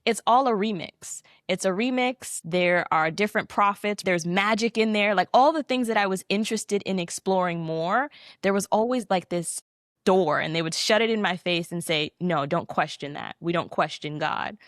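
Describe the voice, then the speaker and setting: A young adult woman sharing her story in an interview, animated and reflective, her voice leaping upward when she quotes her younger self. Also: mid-range voice, moderate pace, no echo, studio quality. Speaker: podcast guest.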